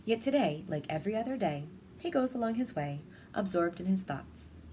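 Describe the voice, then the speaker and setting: A woman reading a children's picture book aloud in an expressive, deliberate storytelling manner. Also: medium pitch, a little hiss or hum. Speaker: storybook reader.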